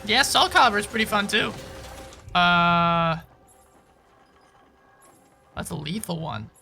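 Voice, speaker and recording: A man giving casual live gameplay commentary and chatting informally with viewers, halting and repeating himself at times. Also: high-pitched, expressive, vocal fry, sharp tone, faint music behind. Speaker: game streamer.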